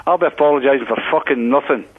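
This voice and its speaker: A man's voice: mid-range voice, racing. A man from Glasgow with a Glaswegian accent, arguing bluntly and combatively with lots of swearing over the phone. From Glasgow, Scotland. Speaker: prank call victim. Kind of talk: heated phone argument. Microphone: telephone. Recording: muffled phone line, broadcast on radio.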